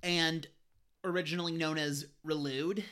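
A bright, sharp-sounding co-host joins a casual podcast chat. The answers come short and eager, in loose, rambling phrasing. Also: medium pitch, steady tone, a little slow, smooth.

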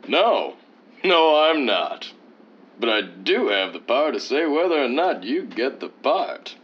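An actor playing a pompous, easily indignant thespian husband in an old-time radio comedy, with a theatrical delivery. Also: male voice, mid-range voice, big pitch swings, a little slow, husky. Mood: condescending. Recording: dull lo-fi old radio sound.

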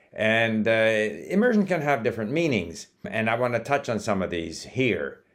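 Older guy, kinda low voice, chatting to camera about learning stuff, calm, trips over words now and then. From Sweden, raised in Canada. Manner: relaxed and explanatory, with small hesitations and restarts. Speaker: language-learning video host. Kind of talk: casual talking-to-camera monologue.

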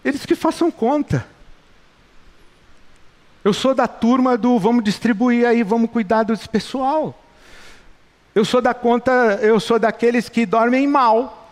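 Brazilian pastor, middle-aged, fervent and repetitive, high-pitched, reverberant hall. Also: male voice, unhurried, pauses often. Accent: Brazilian Portuguese. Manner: passionate, rhetorical repetition. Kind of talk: sermon.

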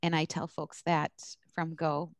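A woman's voice: low voice, flat delivery, a little slow, dry recording, clean studio sound. A woman from the US East Coast speaking bluntly and candidly in an interview, with emphatic, conversational answers like an instructor explaining her work. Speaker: social work supervision instructor.

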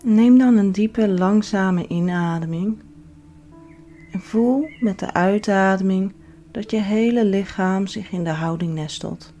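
A woman leading a guided relaxation as a yoga instructor, giving soothing, measured instructions with pauses. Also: mid-range voice, dark tone. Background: background music.

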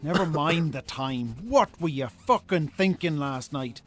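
An actor playing an angry, scornful Irish brother in a radio drama, scolding with sarcasm and swearing. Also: male voice, a little high, animated, a little slow. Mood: furious. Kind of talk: naturalistic radio drama acting.

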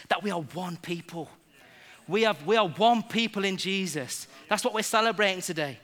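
Bright, high-voiced preacher. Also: male voice. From England. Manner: lively, jokes with the congregation. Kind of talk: sermon.

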